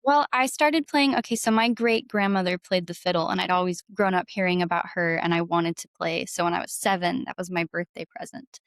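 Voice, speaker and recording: A woman's voice: fairly low pitch, steady tone, fairly quick, hardly any pauses, dry recording. A woman in her twenties chatting casually as an interview guest, bubbly and gushing, peppering her talk with "like". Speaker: guest singer-songwriter and violinist.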